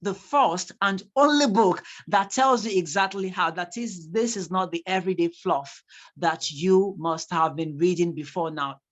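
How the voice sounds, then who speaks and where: An instructor with a Nigerian accent, a native Yoruba speaker, lecturing a class in an animated, emphatic way. Important phrases come back twice so that they sink in. The voice sits at an ordinary, middle pitch. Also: a little slow. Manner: animated, repeats key points for emphasis.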